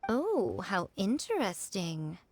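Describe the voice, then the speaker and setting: A woman's voice: medium pitch, huge pitch swings, slow, breathy edge, no room sound, exaggerated voice. Sly, lilting fox-spirit lady. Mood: coy, amused. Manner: poised, coy, amused. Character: sly, elegant, teasing fox-spirit shrine maiden. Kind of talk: video game voice acting.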